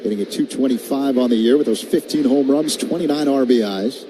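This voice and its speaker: An American man delivers live radio play-by-play of a baseball game in a mid-range voice. Outdoor ballpark noise is clearly audible around him as he follows each pitch. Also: brisk. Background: outdoor stadium ambience.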